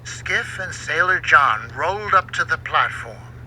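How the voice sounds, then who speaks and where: A man narrates a children's storybook in a very bright, forceful voice. His delivery is unhurried and dramatic, with big swings in pitch. There is faint noise behind him in what sounds like a home recording. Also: rough recording. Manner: expressive, dramatic read-aloud delivery. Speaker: book narrator.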